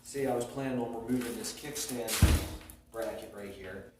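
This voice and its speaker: A man with a slight sniffle from a cold, talking in a soft-spoken, rambling, self-correcting way as he explains a paint job step by step in a house. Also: medium pitch, moderate pace, over loud music. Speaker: DIY restoration vlogger. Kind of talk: casual how-to narration while working. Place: living room of a house, working on a frame. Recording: echoey room, far from mic, noisy.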